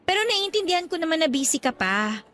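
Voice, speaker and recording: High-voiced coy young Filipina girlfriend. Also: sing-song, quick pace, pushed and bright, a little hiss or hum, exaggerated voice. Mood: coy, affectionate. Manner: coy, teasing. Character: playful, clingy, jealous young girlfriend. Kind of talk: radio drama acting, conversational Taglish.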